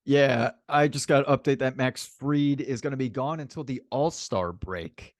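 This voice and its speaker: A man's voice: mid-range voice, moderate pace, dry recording. Casual man co-hosting, dry close sound. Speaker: sports podcast co-host. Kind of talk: casual sports banter.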